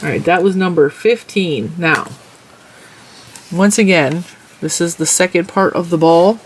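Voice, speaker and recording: A woman's voice: fairly low pitch, measured pace, slight background noise. A congested, stuffy-nosed woman hosting a casual crochet tutorial, chatting as she counts stitches and rows.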